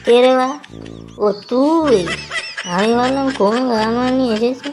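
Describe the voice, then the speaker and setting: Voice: mid-range voice, a little slow, lightly acted. An actor voicing a foul-mouthed, lazy man in a comedy cartoon, whining and blustering in exasperation. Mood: irritated, mocking. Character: foul-mouthed lazy man griping about summer heat. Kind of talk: Bengali comedy cartoon voice acting.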